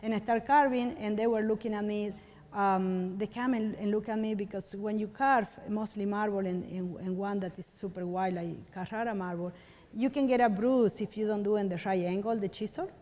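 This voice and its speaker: A woman sculptor, speaking at a middle pitch. She rambles and searches for words as she tells a story. Her voice carries a long reverberant tail. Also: fairly even intonation, normal speed, dark tone. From Argentina. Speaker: stone sculptor. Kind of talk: casual interview, storytelling.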